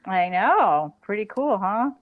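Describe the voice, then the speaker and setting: A woman's voice: medium pitch, big pitch swings, slow, dry recording. A woman chatting casually as an interview guest, phrasing her thoughts hesitantly with small chuckles. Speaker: podcast guest, author. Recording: thin phone-like call audio.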